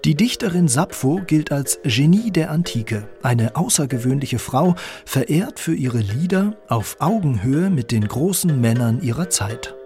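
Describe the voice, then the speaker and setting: A man with a slightly husky voice narrating a scripted radio feature in an articulate, clearly structured way. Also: mid-range voice, big pitch swings, unhurried, darkish tone, faint music behind. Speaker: radio documentary narrator and reporter. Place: dry studio.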